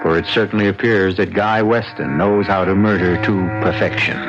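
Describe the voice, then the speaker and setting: A man narrating as host of a radio mystery series, dramatic, measured and portentous, pausing for effect. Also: fairly low pitch, fairly even intonation. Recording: dull, lo-fi radio broadcast recording. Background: music.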